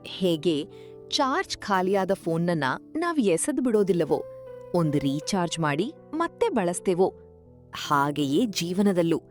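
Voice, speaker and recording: A woman narrating a scripted moral in a measured, earnest, formal way. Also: on the low side, darkish tone. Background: music.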